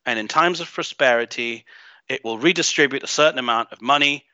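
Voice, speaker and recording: A man from Greece with an unusual mixed accent, talking as an interview guest in a halting, emphatic, opinionated way. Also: medium pitch, sharp tone, smooth, no room sound. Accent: unusual mixed foreign-tinged. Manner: halting, emphatic, thinking aloud. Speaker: podcast guest, game writer and designer. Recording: dull, band-limited online audio.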